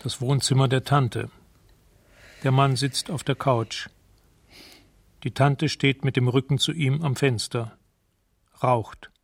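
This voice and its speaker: An actor playing a detached narrator, giving sober, measured scene descriptions in a radio drama. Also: male voice, mid-range voice, steady tone, creaky, no echo.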